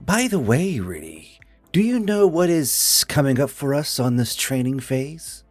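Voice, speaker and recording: A woman voicing an amused, earnest male snake-like alien recruit in a breathy, drawn-out voice for an audio story. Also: extremely low pitch, big pitch swings, a little mellow, no echo, faint music behind, voice pushed down, exaggerated voice. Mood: amused, curious.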